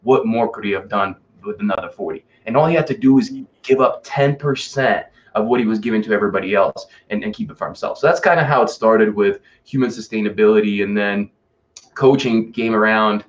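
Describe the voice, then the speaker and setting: Deep-voiced man from Russia, dull lo-fi audio. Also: brisk. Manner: informal, emphatic, with rhetorical check-ins and buddy slang. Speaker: podcast guest, fitness and life coach. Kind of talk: casual, personal interview storytelling.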